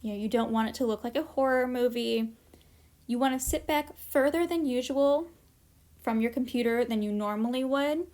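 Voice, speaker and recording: A woman's voice: on the high side, moderate pace, a little mellow. A woman presenting an informal instructional workshop, explaining practical tips in a conversational way with casual asides.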